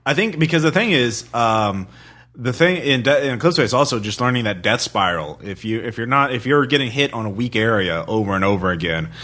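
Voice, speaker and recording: A man's voice: medium pitch, animated, a little fast, pushed and bright. A man chatting casually as a podcast co-host, rambling, repeating phrases and swearing freely. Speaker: podcast co-host and tabletop player. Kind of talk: casual chat.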